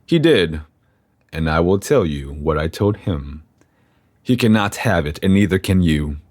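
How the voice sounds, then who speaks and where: A man acting as a grave male scholar of occult secrets in a horror audio drama. His voice is deep and his delivery slow, formal and theatrical, heavy with menace. The sound is close and dry. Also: lively intonation, darkish tone, dry recording. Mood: ominous, zealous.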